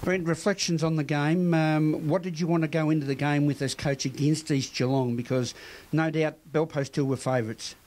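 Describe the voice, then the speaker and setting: Australian local TV news presenter. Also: male voice, fairly high pitch, steady tone, moderate pace, a little mellow. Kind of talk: community TV news reading.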